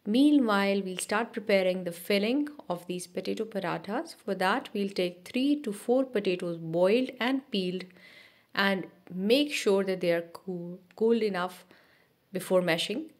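Woman giving calm recipe instructions. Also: mid-range voice, unhurried. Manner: steady step-by-step instructions with small restarts. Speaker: cooking channel host. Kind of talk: recipe voice-over narration.

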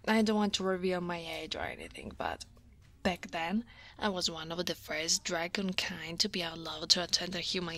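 A woman voicing a weary, easily flustered dragon office senior in an ASMR roleplay, speaking softly and breathily with a hesitant manner, often half-whispering. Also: lowish voice. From Italy.

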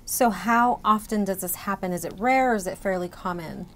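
A woman's voice: mid-range voice, normal speed, fairly bright tone. A middle-aged woman interviewing a guest with semi-scripted questions. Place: indoor event room with banner backdrop.

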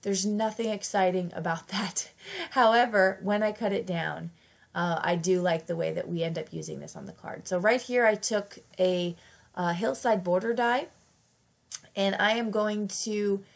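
A woman's voice: fairly low pitch, gravelly. A woman fighting off a cold, narrating a casual craft tutorial in a chatty, self-correcting way. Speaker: craft channel host.

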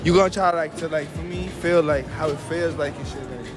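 A man's voice: on the high side, steady tone, a little fast, over loud music. A young man interviewing casually in a mall, slangy and hesitant, repeating himself as he prods. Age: young adult. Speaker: street interviewer. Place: large indoor shopping mall. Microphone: phone held in hand as mic.

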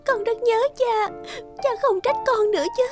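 A woman playing a gentle young noblewoman in a cartoon fairy tale, a devoted daughter who speaks tenderly and earnestly. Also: very high-pitched, moderate pace, over music.

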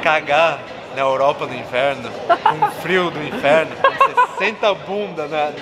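A man's voice: a little high, big pitch swings, a little slow. A man telling a crude story while laughing through it. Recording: loud crowd, voice from the mic's distance.

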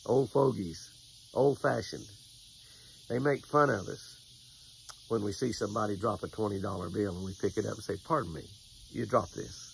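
A man's voice: fairly low pitch, moderate pace, creaky, long pauses, a little hiss or hum, rough recording. An older American man outdoors by a lake, giving a folksy devotional and fishing tip, repeating phrases for emphasis. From United States. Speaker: devotional host and fishing angler. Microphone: camera at close range.

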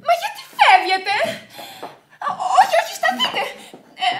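A woman's voice: very high voice, huge pitch swings, heavy background noise, full character voice. An actress playing a proud, indignant woman in a radio play, imperious yet wavering, on an old radio recording. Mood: agitated, torn. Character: fiery, indignant, proud woman, torn by attraction. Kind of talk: radio theatre acting.

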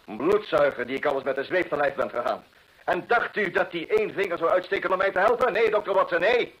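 An actor playing a proud, desperate gentleman landowner in an old radio drama, husky, earnest and theatrical. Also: sits high, expressive, fast, exaggerated voice, rough recording. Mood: desperate, fervent. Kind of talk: old-time Dutch radio drama acting.

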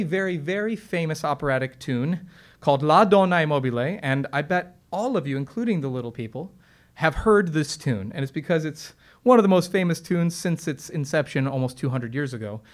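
A man who sings opera talks casually and enthusiastically to an audience, explaining his craft with lots of fillers and restarts. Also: a little high. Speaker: opera singer and presenter. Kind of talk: relaxed explanatory talk to an audience. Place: stage or studio with piano.

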